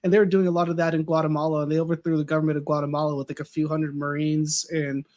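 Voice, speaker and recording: A man's voice: a little high, level tone, rapid-fire, darkish tone. An American man in casual conversation, rambling with fillers and restarts, saying he is losing his voice a little. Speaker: podcast guest.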